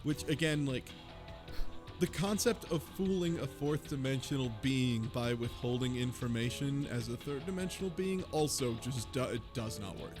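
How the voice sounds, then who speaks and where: A man's voice: medium pitch, normal speed. A man talking casually and informally in a group discussion, swearing freely. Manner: informal, profane. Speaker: book club podcast panelist. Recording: music playing behind the voice.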